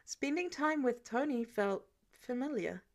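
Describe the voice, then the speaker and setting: Woman, higher-pitched voice, audiobook-style reader, expressive scripted fiction narration. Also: measured pace.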